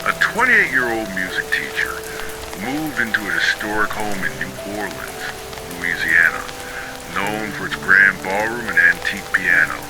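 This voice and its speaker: Male horror narrator, low pitch, solemn measured storytelling, highly varied intonation, music playing underneath. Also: lively intonation, rough recording. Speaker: narrator of ghost stories.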